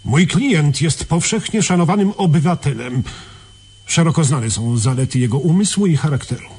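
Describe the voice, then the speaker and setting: A man's voice: on the high side, expressive, moderate pace, a little mellow, slightly rough. A man acting in a radio play as a pompous defence lawyer, formally and indignantly shielding his client.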